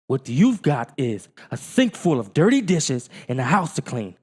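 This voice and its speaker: A man voicing a stern, bossy, exasperated father who scolds loudly in a storybook. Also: a little high, big pitch swings, a little slow, breathy edge, pitched above the performer's own voice, heavily acted. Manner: loud, scolding, theatrical. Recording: long hall-like reverb.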